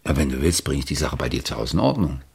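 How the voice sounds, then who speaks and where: Charming moody bohemian writer, radio drama, creaky and husky, coaxing, theatrical. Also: male voice, extremely low pitch, lively intonation, fast, full character voice. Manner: coaxing, pet-naming, theatrical. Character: carefree, charming bohemian writer, Gilgi's lover, easily piqued. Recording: music behind.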